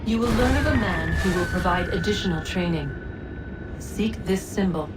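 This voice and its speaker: A woman's voice: lowish voice, moderate pace, distant mic, loud music behind the voice, lightly acted, rough recording. A woman playing a solemn, otherworldly spirit who gives prophecy in a formal, commanding manner in a video game. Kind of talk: scripted video game cutscene acting. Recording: clear noise behind, slightly off-mic.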